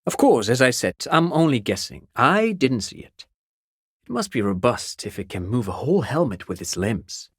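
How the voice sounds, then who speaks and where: A man's voice: mid-range voice, very animated, moderate pace, slightly rough, no echo, studio quality. An actor playing an eager, erudite academic consultant in a game, articulate and long-winded, musing and politely hedging as he speaks. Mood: fascinated, musing.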